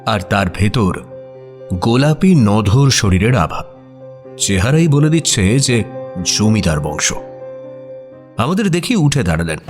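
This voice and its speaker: An actor playing a detective's companion, narrating a mystery audio drama in expressive scripted storytelling. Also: male voice, on the low side. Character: male detective's companion recounting the case as storyteller.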